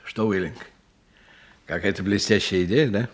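A man's voice: on the low side, moderate pace, lightly acted. Muttering, offhand police inspector played by a male actor. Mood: muttering, curious. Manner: muttering, repeats himself, offhand. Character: brisk, offhand police inspector, casually in charge. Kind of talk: Russian radio play acting.

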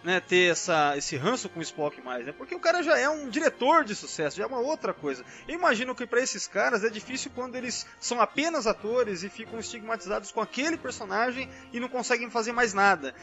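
A man's voice: extremely high pitch, animated, fast talker, hardly any pauses, pushed and bright, highs cut off. A Brazilian man in a casual podcast discussion, rambling and constantly adding little tag questions. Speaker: podcast panelist. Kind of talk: casual chat, film and TV trivia discussion. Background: background music.

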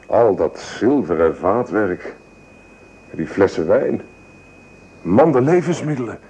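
Casting: weary-hearted army private, radio play, earnest, modest, lowish rough voice. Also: male voice, sing-song, slow, mellow, heavily acted. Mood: impressed. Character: earnest, hungry French volunteer soldier, humble and disillusioned. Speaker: actor.

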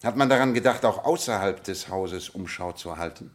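An actor playing a self-assured, eccentric gentleman detective in a German radio play, commanding and inquisitive. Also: low-pitched, moderate pace, natural voice. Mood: probing.

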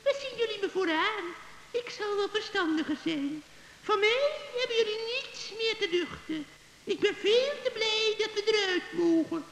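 A piercingly high old witch, creaky and scheming. She gushes and wheedles in sugary tones, with echoey reverb and hiss around her. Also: lively intonation, normal speed, a bit bright, exaggerated voice. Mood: sugary promising. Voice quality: creaky, shrill. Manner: wheedling, gushing. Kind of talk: children's radio play acting. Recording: hissy old recording, echoey hall reverb.

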